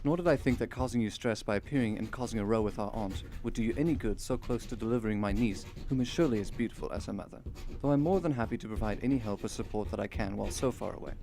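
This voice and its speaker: Polite 1850s gentleman, soft dark timbre, fond older brother, measured diction, radio drama. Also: lowish voice, vocal fry. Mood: apologetic, fond. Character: courteous, affectionate Victorian older brother living abroad. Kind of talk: letter-reading radio drama acting.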